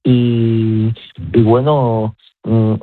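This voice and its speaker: A man's voice: mid-range voice, level tone, very slow, no room sound. A man with a Cuban Spanish accent, a chef speaking as a guest in a radio interview, talking with many restarts. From Cuba. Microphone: telephone. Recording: tinny phone line.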